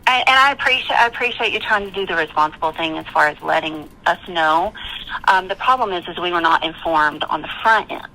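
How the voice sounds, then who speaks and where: A woman with a low, forceful voice speaks over a thin telephone line as a manager. She is formal and polite yet firm, with halting, repetitive phrasing. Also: a little hiss or hum. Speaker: campground management staff. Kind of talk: formal customer phone conversation.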